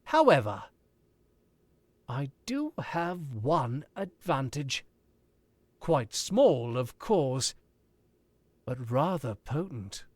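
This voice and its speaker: A man playing a cool, measured male author in a 1940s-style radio play, calmly closing in on a murderer. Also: medium pitch, dry recording, full character voice. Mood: coolly menacing. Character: suave, probing older male author.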